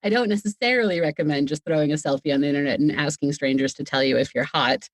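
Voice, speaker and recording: A woman's voice: sits low, fast talker, no echo. A woman chatting casually as a podcast guest, playful and self-deprecating, wandering off into wordy asides. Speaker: podcast guest, audio fiction creator.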